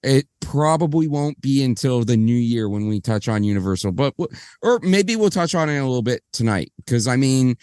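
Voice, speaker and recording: A man co-hosting a casual podcast, slightly hoarse, rambling and often correcting himself as he talks. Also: mid-range voice, lively intonation, normal speed, darkish tone, dry recording, clean studio sound. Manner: rambling, apologetic, self-correcting. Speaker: podcast co-host.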